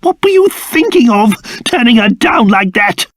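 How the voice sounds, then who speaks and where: A man's voice: very high voice, sing-song, normal speed, no echo. Pompous old cursed king creature from a video game, fussy n exclamatory, voice leaps all over, super dry close sound. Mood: exasperated. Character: fussy, haughty old cursed king, a doting father turned strange creature. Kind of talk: video game character voice acting.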